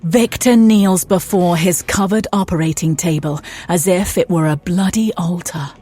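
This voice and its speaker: A woman's voice: fairly low pitch, moderate pace, dark tone, natural voice. A woman narrating a gothic audio drama as a grave storyteller, in dramatic scripted style.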